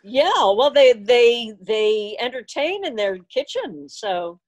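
A woman's voice: a little high, expressive, slow. An older woman chatting casually on a video call as she helps run an online meeting. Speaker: meeting organizer.

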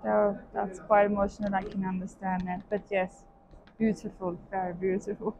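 A woman's voice: medium pitch, little pitch movement, normal speed, lo-fi sound. A young woman hosting a casual interview while strolling indoors. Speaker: host. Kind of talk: casual walk-and-talk interview. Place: large indoor hotel hallway and walkway, while walking. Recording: clearly noisy hallway ambience.

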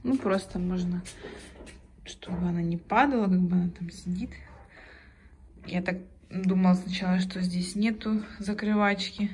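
A woman's voice: fairly low pitch, fairly even intonation, moderate pace, darkish tone, slight background noise. A woman giving a casual, unscripted vlog tour, searching for words as she goes. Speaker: mother showing facilities in a vlog.

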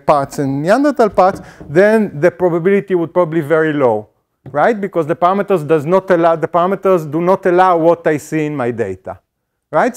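A middle-aged man explains a statistics topic to a lecture hall, his voice sitting somewhat high for a man. He talks through ideas step by step, stumbling into fillers and restarts. He is picked up on a small mic worn on the body. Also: a little mellow. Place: lecture auditorium.

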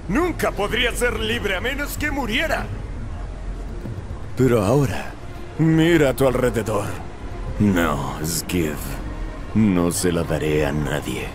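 A man's voice: low voice, very animated, a little slow, long pauses, noisy background. A man voicing a grim, resolute survivor in a dramatic Spanish video game dub, solemn and intense. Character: grim, resolute male survivor hardened by the zone.

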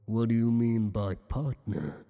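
A man's voice: medium pitch, expressive, mellow, long reverb tail, natural voice. A man voicing a teasing, possessive monster boss. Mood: flirtatious. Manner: teasing, flirtatious, slow and intimate. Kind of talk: ASMR roleplay.

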